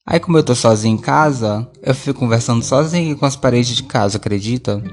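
A man's voice: medium pitch, fairly quick. A Brazilian man chatting casually and alone as a podcast host, rambling conversationally and correcting himself as he goes. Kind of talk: casual solo chat, audio diary. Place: at home, alone.

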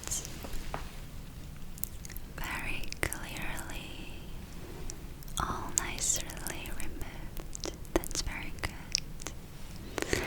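Woman, breathy whisper, very slow pace, gentle, short phrases with pauses, ASMR spa roleplay. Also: low-quality recording. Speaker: ASMR performer playing a spa attendant. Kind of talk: ASMR roleplay, soft personal-attention narration.

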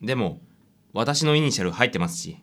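A man's voice: medium pitch, lively intonation, brisk, a bit bright. A man playing a meek, flustered middle-aged divorced father in a radio drama. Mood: explaining, rueful.

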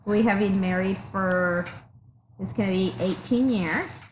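A woman in her forties from Mexico, a teacher, talking through her background in a measured way with many fillers. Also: medium pitch. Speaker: teacher, interview guest. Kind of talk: casual interview. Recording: noisy background hiss.

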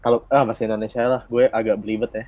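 A man's voice: mid-range voice, flat delivery, very fast, a little mellow, no echo. A man with a Betawi Jakarta accent chatting casually and slangily on a podcast.

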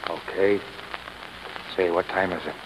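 A man's voice: deep voice, fairly even intonation, a little slow. An actor playing an uneasy, superstitious working-class repairman, talking colloquially in a 1930s radio drama. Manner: colloquial, nervous. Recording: noisy vintage 1930s radio recording.

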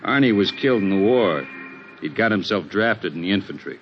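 Shifty hard-boiled ex-con. Deep, sardonic, terse; vintage radio sound, muffled, music behind. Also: male voice, lively intonation, fairly quick. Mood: guarded, sardonic. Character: wary, evasive tough-guy ex-con drinking companion. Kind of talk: 1950s radio crime drama acting. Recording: dull 1950s radio recording with music.

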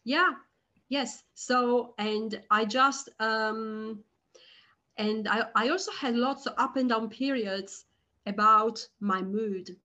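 A woman guest on a podcast, speaking slowly and hesitantly, with frequent restarts and repeated words. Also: on the high side, pushed and bright. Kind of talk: conversational interview.

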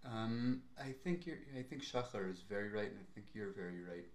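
A young man, co-hosting a podcast in casual chat, speaking in a measured, thoughtful way. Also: lowish voice. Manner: measured, thoughtful, pauses to weigh words. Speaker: podcast co-host. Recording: roomy echo.

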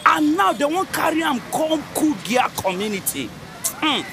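A hot-headed Nigerian townsman, with a very high voice that swoops about. He argues theatrically and scornfully in Pidgin over background music. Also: sing-song, moderate pace, gravelly, exaggerated voice. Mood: indignant. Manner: argumentative, theatrical, mocking. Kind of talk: radio drama acting in Nigerian Pidgin.

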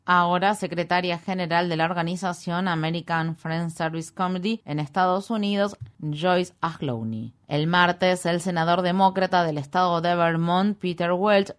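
A woman's voice: lowish voice, smooth. A woman presenting the news, reading from a script in a formal news narration style.

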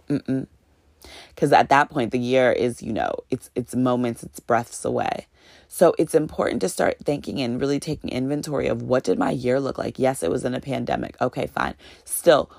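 A woman's voice: extremely low pitch, gravelly, a little mellow, dry recording, clean studio sound. A woman in her late twenties hosting a solo motivational podcast, talking straight to her listeners as family in an upbeat, preachy way. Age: 20s. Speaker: podcast host and business consultant.

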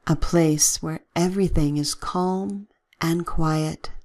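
A woman leading a guided relaxation with gentle, soothing, measured instructions and long pauses. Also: deep voice, steady tone.

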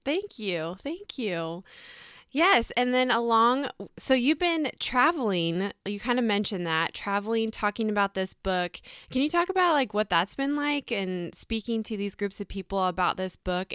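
A woman's voice: medium pitch, a bit bright, smooth, no room sound, clean studio sound. A woman hosting a podcast who interviews her guest with enthusiasm and encouragement and laughs often. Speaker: podcast host. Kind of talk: warm conversational interview.

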